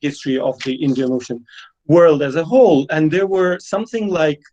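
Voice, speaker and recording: A man's voice: on the high side, moderate pace, warm tone, highs cut off. A man who grew up speaking Russian gives an online academic lecture, hesitant, with many fillers and repeated words. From Belarus. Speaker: guest lecturer.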